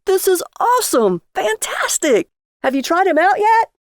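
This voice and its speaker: A childish, gullible husband , as a woman narrator plays him. The voice has a creaky edge and sounds boyish and easily hurt, in a very dry audio-story recording. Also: very high voice, big pitch swings, normal speed, dry recording, pitched above the performer's own voice, heavily acted. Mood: childish glee.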